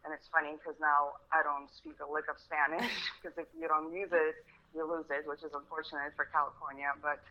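A woman's voice: sits low, lo-fi sound. A woman in her thirties from Russia, a guest talking chattily and upbeat about her path into medicine. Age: 30s. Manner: chatty and upbeat, frequent "um" and laughs. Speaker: guest, medical resident and bikini competitor. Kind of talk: casual interview conversation.

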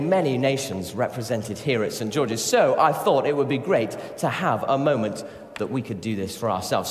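A man speaks to a congregation in a reverberant church hall. His pitch moves around in a lively way as he leads the talk and encourages people to join in. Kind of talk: interactive sermon with scripture reading.

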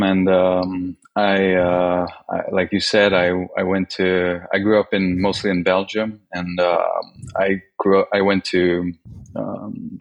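Slow, gravelly-voiced man from Sweden. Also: deep voice. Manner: hesitant, many "um"s and restarts. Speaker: guest filmmaker. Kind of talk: interview answers.

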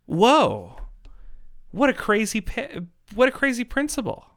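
A man giving a persuasive monologue in an emphatic, rhetorical manner, with dramatic pauses and repetition. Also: extremely high pitch, wildly swooping pitch, a bit bright, no room sound, studio quality. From Canada. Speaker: podcast host and pro-life activist.

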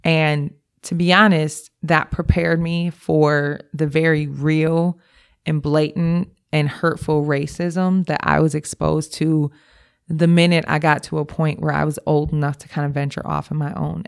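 A woman's voice: low-pitched, little pitch movement, a little slow, creaky. A woman from Milwaukee, an executive director, answering interview questions in a candid, emphatic, slangy way. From Milwaukee, USA.

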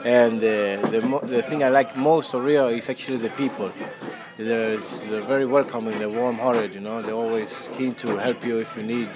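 A man's voice: mid-range voice, steady tone, normal speed. A man from Chile who runs a hostel, answering interview questions casually with many fillers and restarts. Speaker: hostel owner.

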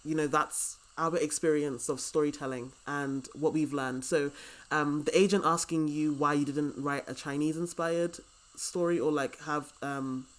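A woman's voice: low-pitched, steady tone, unhurried, rough recording. A woman with a British accent hosts a podcast interview as a casual chat. She is informal and agreeable, speaking in an easy conversational register. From Britain.

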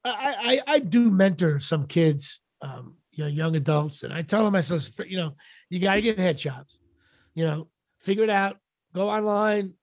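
A middle-aged man with a raspy voice, chatting casually as an interview guest in a wry, self-deprecating, halting way. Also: high voice, lively intonation, dry recording, studio quality. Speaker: podcast guest, actor and comedian.

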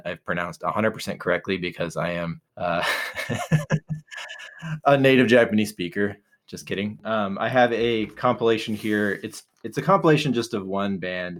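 A man co-hosting a podcast, chatting casually in a relaxed, rambling way with many fillers and restarts. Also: lowish voice. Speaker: podcast co-host. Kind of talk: casual chat.

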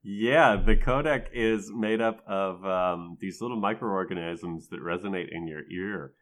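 Low-voiced man in casual, joking conversation. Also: unhurried, a bit bright, poor audio. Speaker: podcast co-host. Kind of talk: casual chat about a video game.

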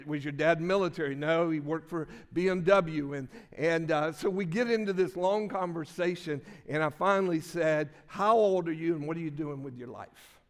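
Older male pastor, folksy sermon storyteller, slightly high voice, long church reverb. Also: clear, resonant tone. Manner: folksy storytelling with many restarts. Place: church sanctuary.

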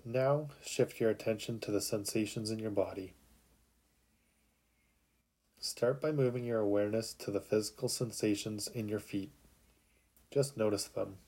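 Soft mellow man guiding sleep meditation. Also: on the low side. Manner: calm, soothing, unhurried with long pauses. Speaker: meditation guide. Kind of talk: guided sleep meditation narration.

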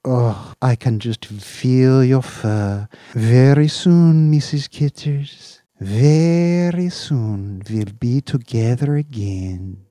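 A man voicing Slope, a petty male official with a shrill, wheedling voice. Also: takes it slowly, few pauses, dark tone, dry recording, studio quality, lightly acted. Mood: cooing. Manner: high, shrill, wheedling and cooing.

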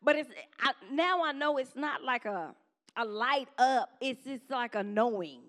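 A woman preaching a sermon to her congregation in a conversational style, with quick call-and-response asides to the church. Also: high-pitched, lively intonation, fairly bright tone. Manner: says she has a strong voice.

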